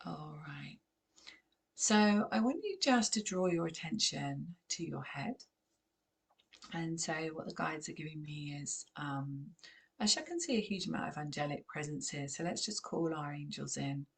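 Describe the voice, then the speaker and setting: A woman with a croaky voice, gently guiding a channeled meditation in a calm, unhurried way. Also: low voice. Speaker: spiritual channeler and host.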